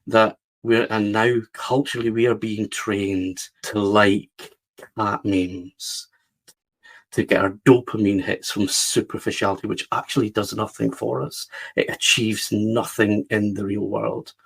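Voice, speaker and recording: A man's voice: on the low side, level tone, unhurried, creaky, breathy edge, poor audio. A middle-aged man from the west of Scotland, speaking with a West of Scotland accent as a guest, telling his story earnestly with frequent restarts. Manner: earnest, repeats words as he restarts. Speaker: guest, publisher. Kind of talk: reflective storytelling interview.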